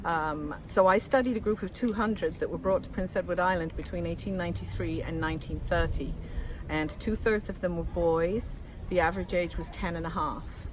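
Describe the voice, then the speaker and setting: English woman with a blended regional accent, low register, poised and knowledgeable; outdoor recording with clear traffic noise behind. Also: moderate pace. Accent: mixed English, from Lancashire and moving around. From England. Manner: articulate, informative, laughs easily. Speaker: passer-by interviewed, author. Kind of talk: casual street interview. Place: outdoors in the shade beside a historic building.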